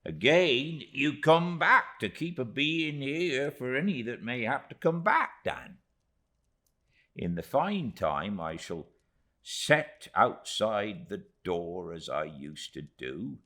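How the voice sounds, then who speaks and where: A man's voice: medium pitch, very animated, slow, sharp tone, pitched above the performer's own voice, full character voice. A man voicing a humble, devoted old widow from a fishing shore in a classic novel, earnest and plaintive in rustic speech. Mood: devoted, steadfast. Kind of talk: classic novel audiobook character.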